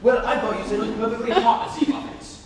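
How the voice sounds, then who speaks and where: A young man acting as a flippant, gluttonous Victorian dandy in a theatrical comedy reading, with breezy, slightly halting delivery. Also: very high-pitched, crowd noise. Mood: breezily stubborn. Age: young adult. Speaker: student performer in a dramatic reading. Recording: echoey noisy hall, far from mic.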